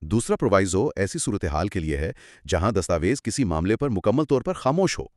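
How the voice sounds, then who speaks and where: A man co-hosting a scripted explanatory discussion, speaking in a measured, emphatic, didactic way. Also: on the low side, very animated, a little mellow, dry recording, studio quality. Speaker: co-host explaining law.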